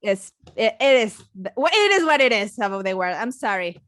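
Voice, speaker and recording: A woman with a Mexican accent, a biologist chatting casually as a podcast guest, halting with many restarts and frequent laughs. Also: medium pitch, very animated, a bit bright, dry recording, studio quality. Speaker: biologist guest and science communicator.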